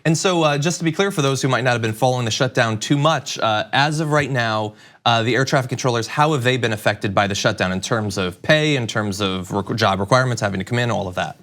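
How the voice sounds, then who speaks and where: A man's voice: mid-range voice, fast, a bit bright. A man hosting a talk show, asking conversational news interview questions in an engaged, wordy way, thinking aloud.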